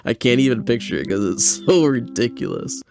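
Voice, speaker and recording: A man's voice: mid-range voice, huge pitch swings, moderate pace, creaky, darkish tone, faint music behind. A man hosting a podcast with casual, bemused reaction commentary in informal, slangy phrasing. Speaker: podcast host.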